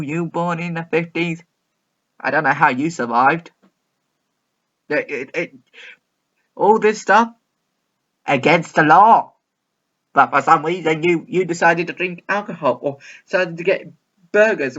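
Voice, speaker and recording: Chatty, higher-pitched man reacting to video. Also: fairly bright tone, highs cut off. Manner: chatty, repetitive, mock-baffled. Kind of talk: casual rambling commentary on a video.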